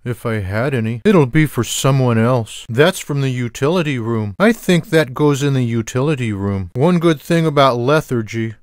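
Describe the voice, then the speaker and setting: A man voicing a lazy, sarcastic, food-loving cartoon cat in a video game, delivering dry, deadpan one-liners without any hurry. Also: medium pitch, dry recording, natural voice. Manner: deadpan, dry, unhurried wisecracking. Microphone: close studio microphone, dry sound.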